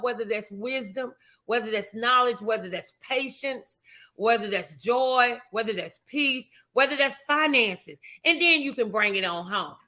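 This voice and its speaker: Woman, very bright forceful voice, high pitch, morning inspiration host, fervent preacher-like exhortation, affectionate. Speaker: host of a motivational morning show. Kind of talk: inspirational talk and prayer, conversational.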